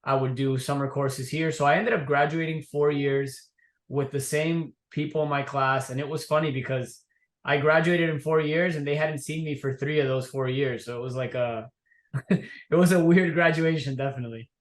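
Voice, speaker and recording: A man's voice: a little high, normal speed. Easygoing young man in a roomy space. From Miami, USA. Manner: relaxed, easygoing storytelling. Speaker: entrepreneur guest. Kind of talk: casual interview.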